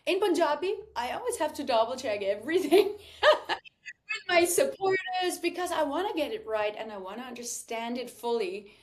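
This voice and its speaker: A woman whose first language is Danish speaks in a somewhat high voice as a guest on a podcast. She talks about her music in an earnest, heartfelt way and often restarts her sentences. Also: big pitch swings, normal speed. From Denmark. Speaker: singer-songwriter guest. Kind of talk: podcast interview.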